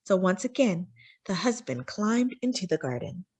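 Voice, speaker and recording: A woman's voice: mid-range voice, very animated, moderate pace, a little mellow, husky. A woman reading a children's storybook aloud as narrator, phrasing for young listeners with dramatic emphasis.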